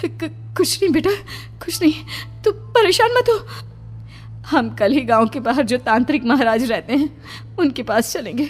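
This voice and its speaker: Woman acting high-pitched anxious, protective mother. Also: lively intonation, measured pace, husky, heavily acted. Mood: anxious concern. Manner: urgent, emotional pleading. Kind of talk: melodramatic horror cartoon acting.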